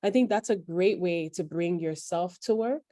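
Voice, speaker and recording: A woman with a Nigerian accent blended with New York speech talks in a low, mellow voice. She gives advice earnestly and directly. The recording is dry and close. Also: normal speed, no echo. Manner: earnest, advising, speaks up plainly. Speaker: guest. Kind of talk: interview conversation.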